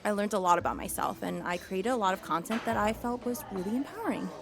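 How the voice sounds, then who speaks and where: A woman's voice: mid-range voice, fast talker, creaky, lightly acted. An actress playing an earnest, easily indignant young woman entrepreneur in a naturalistic comedy-drama podcast. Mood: resigned, reflective.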